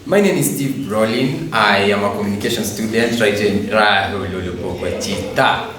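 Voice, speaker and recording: A young man with a Kenyan accent, a student giving halting answers in an interview. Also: on the low side, fairly bright tone. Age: young adult. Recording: very echoey room, distant mic, noisy.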